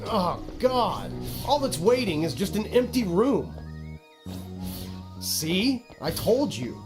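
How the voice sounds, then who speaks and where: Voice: medium pitch, sing-song, slow pace, music in the background. An actor playing a pompous, exasperated video game narrator who scolds the player in a dramatic, haughty, petulant way. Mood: exasperated scolding. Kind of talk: theatrical scripted game narration.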